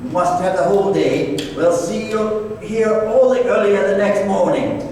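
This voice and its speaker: Guy acting as a grumpy old miser, curt, high voice, radio drama, super echoey with some hiss. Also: a little slow, darkish tone. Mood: grudging, irritable. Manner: curt, grudging, dismissive. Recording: distant echoey hall, noticeable hiss.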